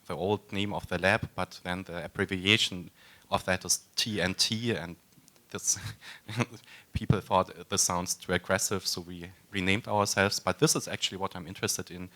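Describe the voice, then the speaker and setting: A man introducing himself on a panel in a relaxed, hesitant and slightly wry way. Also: low voice, normal speed. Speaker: panelist, researcher.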